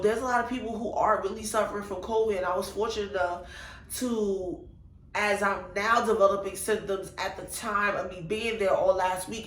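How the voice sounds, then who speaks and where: A woman's voice: medium pitch, reverberant, low-quality recording. Congested young woman telling her story. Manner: animated, chatty, exclaims to God and Jesus. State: congested, nasal. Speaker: vlogger. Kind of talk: casual storytime vlog.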